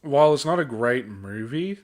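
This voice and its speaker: A young adult man with an Australian accent and an ordinary mid-pitched voice. He talks like a podcast co-host among friends, loose and slangy, wandering through his thoughts in a laid-back way. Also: expressive, moderate pace. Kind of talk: casual chat.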